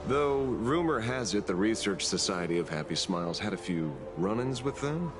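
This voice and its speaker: Deep-voiced, sardonic detective played by a man. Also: expressive, normal speed, music in the background, close to an everyday voice. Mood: wry, probing. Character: dry, sceptical male detective. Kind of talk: video game voice acting.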